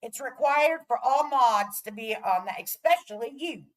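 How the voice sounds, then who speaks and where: A woman's voice: a little high, expressive, normal speed, low-quality recording. A woman hosting a casual livestream, chatting to viewers in a brash, profane way. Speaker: livestream host.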